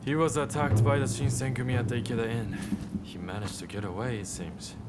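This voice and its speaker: An actor playing a bold samurai militia leader in a video game cutscene. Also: male voice, mid-range voice, fairly even intonation, brisk, heavy background noise, natural voice. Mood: sober.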